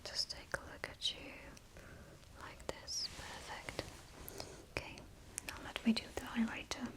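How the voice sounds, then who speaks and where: A woman speaking in a breathy whisper, gently explaining each step of a styling session to a client as unscripted ASMR. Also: measured pace. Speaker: makeup and colour analysis stylist.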